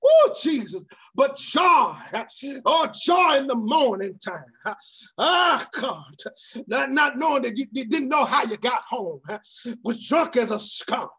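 A fervent male preacher with a very high voice and a husky, breathy edge. He keeps crying out to God between lines. He swings from ringing shouts to hushed, sinking phrases. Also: lively intonation, takes it slowly. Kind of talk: impassioned sermon.

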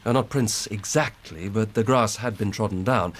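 An actor playing an earnest, methodical English police inspector in a radio drama, husky and deferential as he reports. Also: fairly low pitch, natural voice. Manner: measured, deferential reporting of evidence. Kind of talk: BBC radio drama acting.

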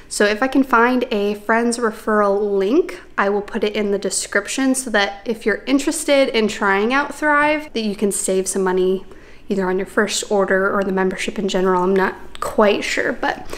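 A young pregnant woman chatting casually to camera in a bright living room, upbeat and full of fillers as she shows off her purchases. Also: medium pitch. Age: young adult. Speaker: lifestyle vlogger. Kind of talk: casual vlog chat showing purchases.

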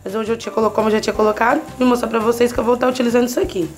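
A woman's voice: on the high side, steady tone, racing, faint music behind. Chatty woman talking through a home recipe. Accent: Brazilian Portuguese. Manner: chatty, constantly checking in with the viewer. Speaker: cooking channel host. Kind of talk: casual home cooking tutorial. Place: home kitchen, at the stove.

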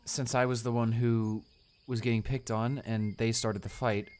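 Shy male classmate, acted by a man: kind, easily flustered, halting and apologetic, medium pitch, for romantic audio roleplay. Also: flat delivery, a little mellow, natural voice. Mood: relieved. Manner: stammering, hesitant, apologetic.

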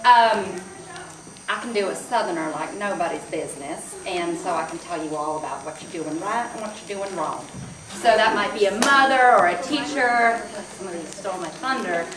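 A woman's voice: mid-range voice, normal speed, a crowd in the background. A woman actor introducing herself on stage in a casual, playful, chatty way, laughing now and then. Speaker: actor auditioning. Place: hall stage with seated audience. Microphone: far camera. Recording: echoey hall, noisy, far off.

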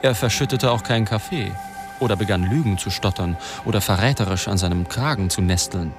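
An actor in a German radio drama playing a sceptical male reporter, measured and wry in dialogue and narration. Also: lowish voice, natural voice. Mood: wry, reflective. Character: probing, sceptical male reporter telling his own story. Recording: music behind the voice.

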